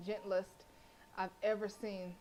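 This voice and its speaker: A woman's voice: medium pitch, takes it slowly, low-quality recording. A woman streaming a visual novel, reading the story aloud and reacting with brash, profane, slangy commentary. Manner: brash, animated and profane, with slangy trash talk.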